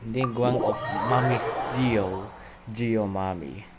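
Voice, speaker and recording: A man giving casual gameplay commentary in loose, halting, broken phrases. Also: lowish voice, a little hiss or hum. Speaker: gameplay video host. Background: loud game music.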